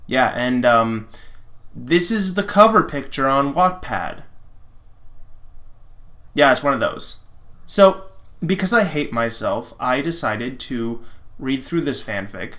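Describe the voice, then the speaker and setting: A man's voice: medium pitch, lively intonation, slow pace, pauses often, sharp tone. A man with a wry, self-mocking manner, reading fan fiction aloud as host with informal commentary and dramatic narration.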